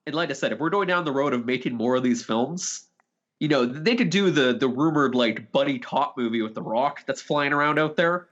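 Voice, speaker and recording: A man's voice: medium pitch, fairly quick, fairly bright tone, highs cut off. A man co-hosting a podcast, chatting casually and bantering about films. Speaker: podcast co-host.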